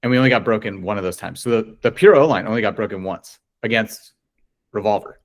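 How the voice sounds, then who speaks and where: A man's voice: fairly low pitch, fast. A man of forty talking casually as a guest in an interview. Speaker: interview guest, coach and player. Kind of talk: casual chat.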